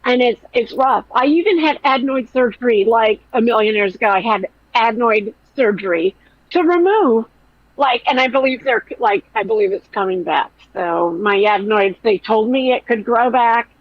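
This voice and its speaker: A woman hosting a podcast in casual commentary chat, with a nasal, whiny voice and a blunt, profane, animated way of talking. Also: on the high side, moderate pace, no echo, dull audio. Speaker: podcast host.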